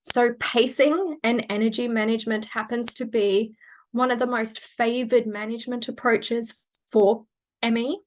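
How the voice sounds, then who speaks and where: A woman, presenting medical research in a measured, technical way, with frequent fillers and restarts. She is losing her voice and sounds hoarse. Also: on the high side, fairly even intonation, rough recording. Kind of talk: academic webinar presentation.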